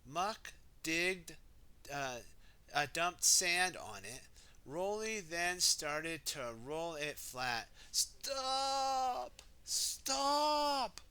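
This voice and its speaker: A man with a very bright, forward voice reads a picture book to children. He sits a little high in pitch and takes his time, stepping out of the text for playful asides and repeating words for young listeners. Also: lively intonation, extremely slow, clean tone. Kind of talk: animated read-aloud with ad-libbed asides.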